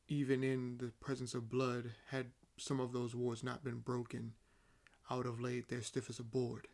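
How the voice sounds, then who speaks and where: A man voicing an ancient vampire in an audio roleplay, nonchalant and amused, speaking with wry condescension and theatrical pauses. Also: medium pitch, completely flat delivery, darkish tone, lightly acted. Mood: explaining calmly. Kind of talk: roleplay audio acting, addressing the listener.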